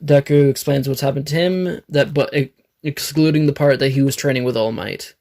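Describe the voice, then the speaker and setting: A man with a raspy, dry throat, narrating an improvised fan story, rambling and correcting himself. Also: medium pitch, normal speed, a little mellow. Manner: rambling, self-correcting, swears.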